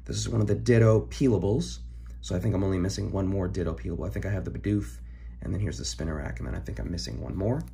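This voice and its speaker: A hoarse young man, recovering from an illness, chatting casually as he opens trading card packs at his desk, rambling with ums and throat clearing. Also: deep voice, fast, mellow. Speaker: card-collecting channel host. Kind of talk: casual pack-opening chat. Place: home room, filmed over a desk.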